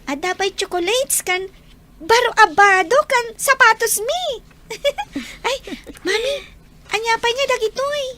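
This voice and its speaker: An actress plays a young Ilocano daughter in an audio drama. Her voice is very high, and she chats affectionately, like family at home. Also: measured pace, bright, forceful tone, slight background noise, heavily acted. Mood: bright, affectionate.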